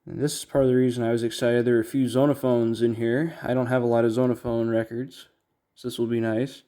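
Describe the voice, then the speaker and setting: Male collector-host, mid-pitched and mellow, off-the-cuff unboxing commentary, halting and thinking aloud. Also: little pitch movement. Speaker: record collector, video host.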